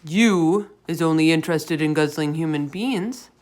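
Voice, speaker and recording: A woman's voice: low voice, unhurried, a little mellow. Woman voicing a nervous, timid male giant. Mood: nervous, pleading. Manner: anxious, pleading, mangled grammar.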